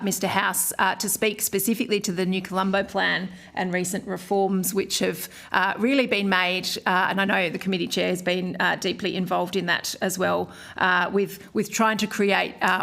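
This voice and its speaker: Flat-voiced woman giving formal testimony. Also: mid-range voice, gravelly. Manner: measured, many ums and uhs. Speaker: official witness.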